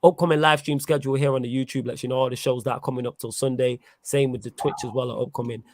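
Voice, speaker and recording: A man's voice: medium pitch, fairly even intonation, quick pace, darkish tone, no room sound, clean studio sound. A man hosting a casual live football fan chat, full of slangy banter. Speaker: host of a football fan show.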